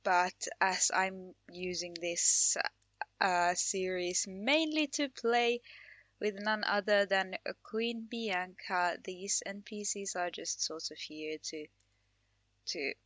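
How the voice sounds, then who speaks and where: A woman's voice: medium pitch, steady tone, slow pace, a bit bright, no room sound. Chatty woman doing casual game commentary, dry sound. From Finland. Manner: chatty, informal. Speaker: gaming channel host. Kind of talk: casual Let's Play commentary.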